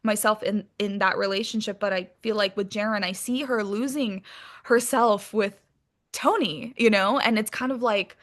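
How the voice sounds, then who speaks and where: A woman speaking as an interview guest in a candid, casual chat, animated and informal, peppering her talk with filler phrases. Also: medium pitch, normal speed.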